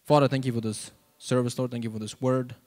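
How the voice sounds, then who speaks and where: A man gives an informal sermon and testimony into a handheld mic, halting and conversational, with lots of "like" and "you know.". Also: mid-range voice, flat delivery, a little mellow. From South Africa. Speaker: preacher. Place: church auditorium, on stage before seated congregation. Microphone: handheld microphone.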